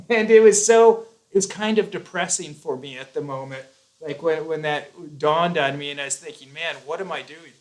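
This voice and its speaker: A man's voice: a little high, moderate pace, a little mellow, rough recording. A man hosting a live chat, talking earnestly and at length, with frequent restarts. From United States. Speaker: YouTube host.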